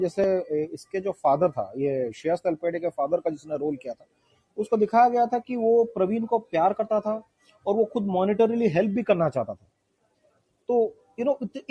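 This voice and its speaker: Male panelist, high pitch, husky tone, casual Hindi-English review talk. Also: darkish tone, no room sound.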